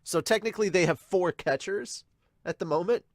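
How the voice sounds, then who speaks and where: A man's voice: high voice, normal speed, no room sound, studio quality. A man chatting casually as he reacts to a manga, often amused as he explains things. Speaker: podcast host reacting to manga. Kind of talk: casual reaction chat with manga read-aloud.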